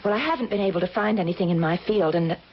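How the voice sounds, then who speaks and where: An actress playing an earnest, polite and anxious young job seeker in a radio drama, hesitant, apologetic and eager. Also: female voice, medium pitch, rapid-fire, close to an everyday voice. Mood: anxious, puzzled. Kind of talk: old-time radio drama acting. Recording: muffled, noisy old radio broadcast.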